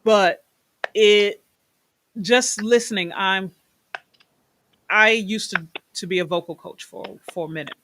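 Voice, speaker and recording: A middle-aged woman hosts an interview, chatty and enthusiastic, drawing out words when she wants to stress them. Her voice sits at a middle pitch. A clear hiss of noise runs under the recording. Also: takes it slowly, long pauses, a bit bright. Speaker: host. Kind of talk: casual interview chat.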